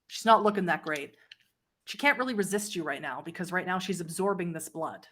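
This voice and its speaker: Low-voiced woman as game master. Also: expressive, a little fast, a bit bright. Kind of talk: narrating a tabletop roleplaying game.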